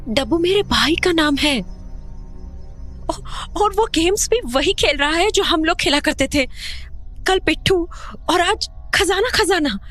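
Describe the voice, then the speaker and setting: A woman acts as an anxious mother in a horror audio drama. Her high voice is urgent and fearful, pressing for answers, with soft music behind her. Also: full character voice. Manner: urgent, agitated. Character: anxious, fearful mother haunted by lost brother.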